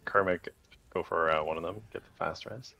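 A man leading a raid group over voice chat, calling short commands in rapid repeated bursts with countdowns. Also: low-pitched, vocal fry, sharp tone.

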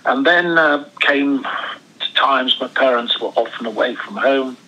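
A man's voice: medium pitch, a little slow, pushed and bright, lo-fi sound. A man in his seventies, born in Switzerland, telling his life story as an interview guest, rambling with many restarts. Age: 70s. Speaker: guest actor. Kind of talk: casual interview chat.

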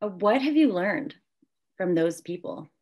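A woman's voice: medium pitch, expressive, unhurried, a little mellow. A woman in her thirties speaking informally and reflectively as an interviewer on a video call, often saying "like". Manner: informal, peppers talk with "like". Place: indoor room at home, video call.

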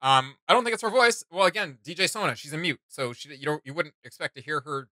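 A young man with a fairly high, very bright and forceful voice talks casually. He sounds enthusiastic and slangy, like someone reacting on video, and the sound is dry and close. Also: fast talker, no room sound. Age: young adult. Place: small indoor room with gaming setup.

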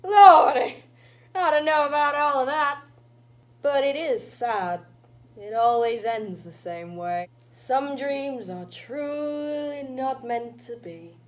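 A woman's voice: high-pitched, slow pace. A woman voicing a stern, cryptic old witch granny who guards the dead, speaking in archaic, foreboding phrases. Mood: cryptic, mournful.

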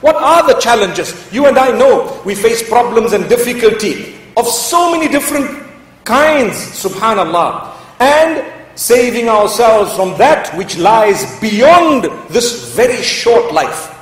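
A middle-aged male Islamic preacher lecturing a live audience, asking rhetorical questions with emphatic pauses. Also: very high voice, animated. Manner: chants Arabic scripture melodically. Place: large mosque hall. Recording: echoey hall, mic far off.

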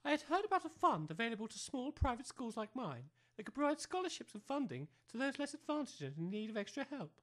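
A woman's voice: fairly high pitch, big pitch swings, fast talker, few pauses. A woman playing a pompous, snobbish new-age academy head in an amateur comedy audio drama, speaking precisely in lofty jargon. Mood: conciliatory.

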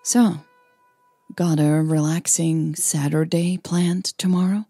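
A woman's voice: low-pitched, steady tone, takes it slowly, mellow, breathy edge, no echo, soft background music, exaggerated voice. A woman narrator voicing an awkward workaholic woman making polite conversation in an audio romance. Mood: tentative. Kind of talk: audio romance story dialogue.

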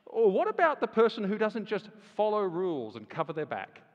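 A man preaching a sermon, firing rhetorical questions and swinging from loud emphasis to hushed lines. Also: high-pitched, huge pitch swings, brisk, highs cut off. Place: large church hall. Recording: long reverb of a large hall.

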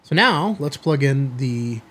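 A middle-aged man hosting a hobby video, giving a product demonstration to camera in a husky voice. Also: mid-range voice, animated, takes it slowly, fairly bright tone. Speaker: host of a ham radio video channel. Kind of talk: product test demo to camera. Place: small indoor studio room.

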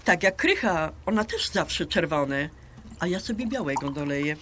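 A woman's voice: deep voice, expressive, normal speed, background noise. An actress playing a breezy, bossy middle-aged hostess in an audio drama, chatty and pushy, dropping English phrases into her talk. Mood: bubbly, welcoming. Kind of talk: audio drama acting, conversational.

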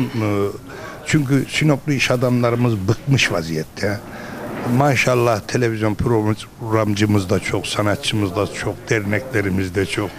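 A middle-aged man presenting a local television program as host, speaking into a handheld microphone. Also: fairly low pitch. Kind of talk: presenting interview with rhyming sponsor thanks. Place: busy restaurant dining room.

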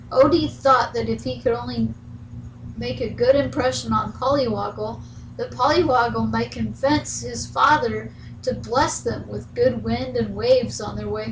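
A woman's voice: fairly high pitch, a bit bright, a little hiss or hum, poor audio. A woman storyteller narrating a scripted bedtime story in a folksy way, as if to a young listener.

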